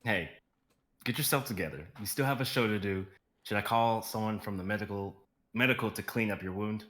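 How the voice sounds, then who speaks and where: A man's voice: on the low side, a little fast, reverberant, lightly acted. Man reading a patient, soothing assistant. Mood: gentle. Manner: calm, gentle, soothing. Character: patient male assistant to a star, steady. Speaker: actor in a live script reading. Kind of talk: amateur dramatic reading.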